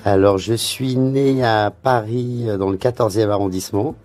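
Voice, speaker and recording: A man's voice: on the low side, fairly even intonation, moderate pace, darkish tone, faint music behind. A man talking casually about where he grew up, hesitating often with fillers. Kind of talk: casual interview answer.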